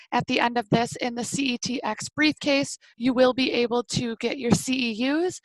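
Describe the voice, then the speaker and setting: A woman's voice: fairly high pitch, dry recording, poor audio. A woman moderating a webinar, giving housekeeping announcements over a video call.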